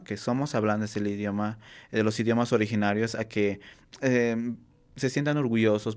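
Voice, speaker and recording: A young man whose first language is Nahuatl, speaking in a low, steady, smooth voice with little rise and fall. He answers earnestly and pauses often, filling gaps and restarting phrases as he goes. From Mexico. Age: young adult. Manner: earnest, halting with many fillers and restarts. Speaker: Nahuatl workshop teacher and university student. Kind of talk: interview answers.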